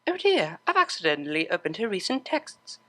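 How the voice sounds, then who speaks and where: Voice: mid-range voice, wildly swooping pitch, a little fast, bright, forceful tone, pitched above the performer's own voice. A performer voicing a refined young female pony cellist from a cartoon fan story, prim, exasperated and teasing. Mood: mock dismay.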